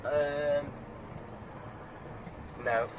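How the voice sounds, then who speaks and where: A man's voice: a little high, fairly even intonation, very slow, lo-fi sound. A truck driver with a stuffed-up nose from a cold, chatting casually to the camera from his cab and grumbling at other road users. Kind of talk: casual driving vlog commentary. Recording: road and engine noise in cab.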